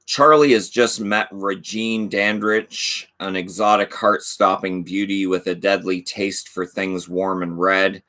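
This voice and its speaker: A man hosting a casual video channel, reading DVD back covers aloud and chatting about his collection. He says he is losing his voice, and his asides are low-key and offhand. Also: steady tone, unhurried, few pauses, sharp tone. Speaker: channel host.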